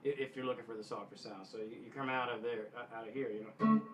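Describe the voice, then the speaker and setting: A man giving casual guitar instruction in a halting way, often backtracking and clearing his throat. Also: medium pitch, fairly even intonation, poor audio. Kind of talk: casual instructional talk to camera.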